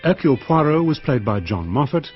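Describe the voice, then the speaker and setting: A man's voice: fairly high pitch, sing-song, a little fast, highs cut off. A man reading scripted closing credits as a radio announcer. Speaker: continuity announcer. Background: music.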